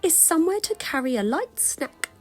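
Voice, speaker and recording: A woman voicing an inventive, determined woman cyclist in a storybook, musing to herself in slow, emphatic, drawn-out phrases. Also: very high-pitched, big pitch swings, faint music behind, voice pushed up. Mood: musing, decisive.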